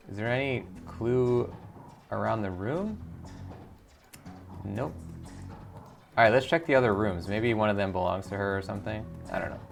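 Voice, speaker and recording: A man with a fairly low voice and plenty of vocal fry hosts a gaming video. He comments casually and mutters through his thinking, reading game text aloud. Faint music plays behind him.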